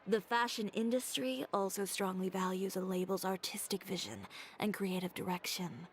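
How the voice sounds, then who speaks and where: A woman's voice: mid-range voice, a little hiss or hum, close to an everyday voice. A woman voicing a confident, blunt young female fashion designer with a composed, dry manner, in an anime-style video game.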